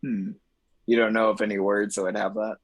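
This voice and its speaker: A man's voice: on the low side, level tone, a little fast. A man from Texas with a light Texan accent, chatting in a laid-back way on a practice date. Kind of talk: casual chat.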